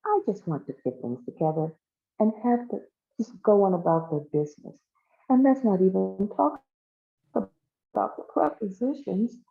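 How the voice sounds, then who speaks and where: A woman's voice: mid-range voice, big pitch swings, normal speed, vocal fry, mellow, soft background music, voice pushed up. A woman voicing a nervous, earnest, easily annoyed female worker in a told story. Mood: fussy, annoyed. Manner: fretful, earnest. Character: nervous, fussy, committed young working woman, easily annoyed. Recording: thin phone-like band-limited sound.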